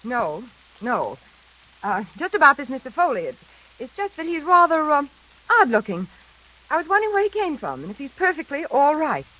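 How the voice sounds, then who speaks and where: Haunted heroine, vintage radio suspense. Breathless, halting, desperate, highly expressive pitch. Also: female voice, heavily acted, low-quality recording. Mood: uneasy. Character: frightened, haunted single woman, nervous and desperate. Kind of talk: 1940s radio suspense acting. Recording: old radio recording.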